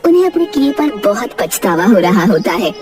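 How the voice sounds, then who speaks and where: A woman's voice: mid-range voice, big pitch swings, moderate pace, recorded from a distance. Woman narrating over music. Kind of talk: scripted children's story narration. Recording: music under the voice.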